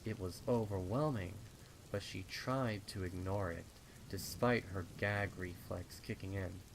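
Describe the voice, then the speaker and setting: A man narrating a horror story dramatically, with deliberate, suspenseful phrasing. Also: low-pitched, steady tone, creaky, a little hiss or hum, poor audio. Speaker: fan fiction narrator.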